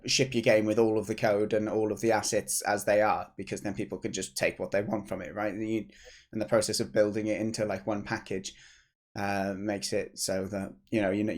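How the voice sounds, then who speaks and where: A British man in his thirties, a game developer hosting a casual devlog stream, slightly hoarse, chatty and rambling with restarts, at a desk mic in a home office. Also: lowish voice, darkish tone.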